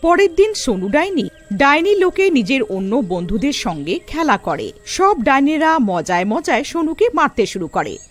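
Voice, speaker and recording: A woman's voice: sits high, sing-song, normal speed, faint music behind. A woman narrating a scripted cartoon tale as a storyteller.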